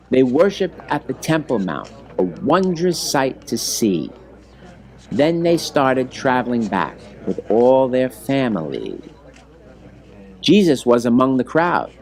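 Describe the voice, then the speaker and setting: A man reading a rhyming children's story aloud in an expressive, measured storytelling manner. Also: mid-range voice, no echo. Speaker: storybook reader.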